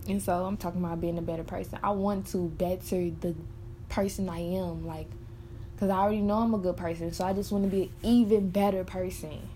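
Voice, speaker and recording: Young woman in her early twenties, lowish mellow voice, frank and profane, rambles with fillers, confessional monologue. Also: fairly even intonation, brisk, a little hiss or hum, low-quality recording. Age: early 20s. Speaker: podcaster. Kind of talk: candid personal monologue.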